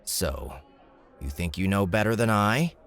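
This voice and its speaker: Man acting a deep-voiced, smug young nobleman. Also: expressive, unhurried, creaky, a bit bright, faint music behind, exaggerated voice. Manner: glib, formal, commanding. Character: young male noble, shrewd, smug and commanding. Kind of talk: audiobook character dialogue.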